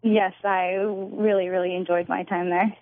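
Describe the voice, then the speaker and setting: A woman's voice: mid-range voice, flat delivery, measured pace, no echo. A young woman in her twenties from Northern California, answering interview questions in an earnest, friendly way. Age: 20s. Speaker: guest. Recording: tinny phone line.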